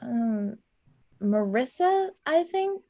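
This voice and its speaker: A woman's voice: fairly high pitch, big pitch swings, extremely slow, dark tone, full character voice. An actress playing a friendly, shy woman in her twenties in an online play reading, casual and hesitant. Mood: easygoing. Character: friendly, shy 23-year-old woman working as lobby attendant. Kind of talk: naturalistic acting in an online staged play reading. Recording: dull, lo-fi online recording.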